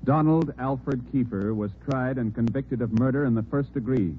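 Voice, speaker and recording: A man announcing in a grave, measured, formal radio style. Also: medium pitch. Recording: tinny old radio broadcast over music.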